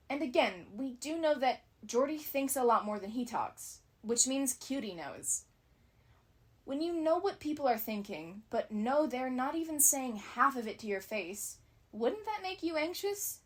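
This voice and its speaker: A woman giving scripted analysis commentary as a video essayist, with casual asides. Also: a little high.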